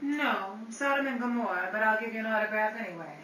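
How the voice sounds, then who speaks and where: A woman's voice: fairly high pitch, fast, close to an everyday voice. A woman playing a headstrong, sassy 1930s nightclub singer with slangy, cutting retorts, in old-time radio drama style. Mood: haughty, mocking. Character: brassy, headstrong 1930s nightclub singer, female, hard-bargaining. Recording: roomy, distant mic, hissy background.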